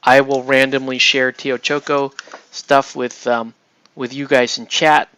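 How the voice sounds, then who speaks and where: A man chatting casually on a livestream while he paints miniatures, rambling and excitable, often breaking off mid-sentence. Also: mid-range voice, unhurried, smooth, dry recording. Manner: rambling, excitable, self-interrupting with laughs.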